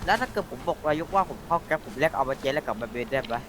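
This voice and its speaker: A man's voice: on the high side, expressive, racing, noisy background. A man in casual conversation as a player in an online roleplay game. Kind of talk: casual gaming chat.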